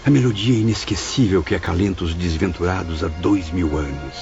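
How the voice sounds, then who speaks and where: An actor playing a repentant male spirit who reflects solemnly on his afterlife in an audio drama, with measured literary phrasing. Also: sits low. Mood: solemn, remorseful. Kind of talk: audio drama acting and first-person narration. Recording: dull, band-limited audio under clear music.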